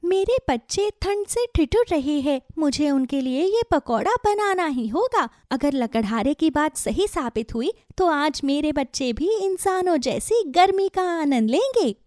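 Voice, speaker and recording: High, determined mother bird voiced by a woman. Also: animated, a little slow, hardly any pauses, darkish tone, no echo, clean studio sound. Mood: resolved, worried. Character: determined, caring mother bird, urgent leader. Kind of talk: Hindi children's cartoon story dialogue.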